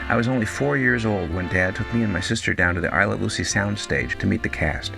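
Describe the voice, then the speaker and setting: A man's voice: lowish voice, brisk, creaky, music in the background. A man giving a scripted, storytelling introduction as the producer of a show.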